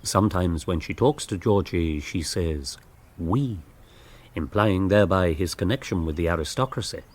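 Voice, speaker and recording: An actor playing a wry, urbane, gently mocking author who narrates a comic radio drama in an English accent. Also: male voice, deep voice, normal speed.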